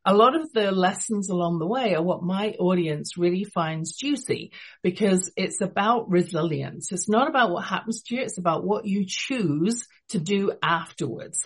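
An enthusiastic woman with an English accent hosts a syndicated radio interview. Her voice has a husky, breathy edge, and she laughs easily as she leads the chat. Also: on the low side, expressive, moderate pace. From England. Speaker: radio show host.

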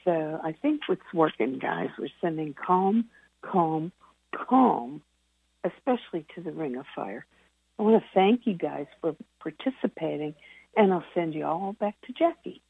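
A woman's voice: low voice, animated, unhurried, creaky, slightly rough, no room sound. A woman co-hosting a conference call, giving informal updates to listeners over the phone. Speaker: call co-host reading reports and healing list. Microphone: telephone. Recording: muffled, narrow phone conference line.

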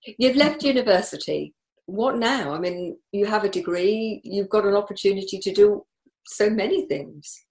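A woman hosting a podcast, agreeing warmly with her guest and asking follow-up questions. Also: medium pitch, normal speed, poor audio. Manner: agreeable, conversational, asks follow-ups. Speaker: podcast host. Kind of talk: interview questions.

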